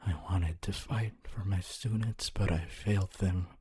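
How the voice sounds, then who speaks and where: A man voicing a lonely, honorable ghost of a teacher in an ASMR roleplay, speaking in a hushed, breathy, halting and wistful way. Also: deep voice, fairly even intonation, exaggerated voice. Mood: somber, regretful. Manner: slow, halting, wistful, intimate. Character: honorable lonely male ghost, former teacher, tender and regretful.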